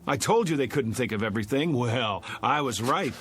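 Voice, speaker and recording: A man's voice: medium pitch, lively intonation, normal speed, a bit bright, lightly acted. An American actor playing a desperate, agitated fugitive in a television drama, stammering and insisting urgently that he is sane. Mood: agitated, triumphant. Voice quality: stammering, breaking phrases.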